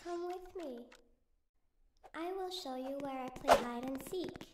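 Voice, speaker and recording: A woman voicing a lonely, eerie ghost girl in a horror audio drama, talking in a slow, taunting sing-song. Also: high-pitched. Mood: luring. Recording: echoey with loud background noise.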